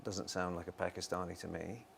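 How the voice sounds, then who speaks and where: Low-voiced male interviewer, measured. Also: little pitch movement, gravelly, a bit bright, slight background noise. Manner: measured, affirming, frequent "mm-hmm" backchannels. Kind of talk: conversational interview.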